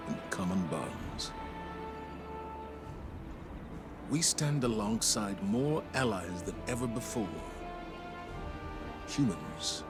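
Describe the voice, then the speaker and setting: An actor playing a weary, solemn, middle-aged military commander in a video game, speaking in a grave, measured, oratorical way. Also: male voice, fairly low pitch. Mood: solemn resolve. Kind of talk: dramatic game cutscene monologue.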